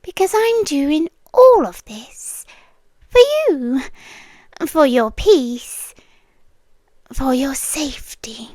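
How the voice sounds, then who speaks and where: A woman's voice: high-pitched, darkish tone, no echo, heavily acted. A British woman playing a possessive, sweetly menacing landlady girlfriend in an intimate ASMR roleplay, coaxing and controlling, often dropping into whispers. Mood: calmly obsessive.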